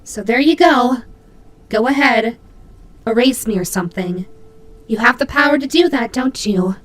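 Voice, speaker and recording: A woman's voice: on the high side, moderate pace, natural voice. A woman voicing a cute female demon bunny, proud and grudging, who stammers huffily in a scripted audio roleplay. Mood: grudging resignation. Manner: indignant, stammering, huffy.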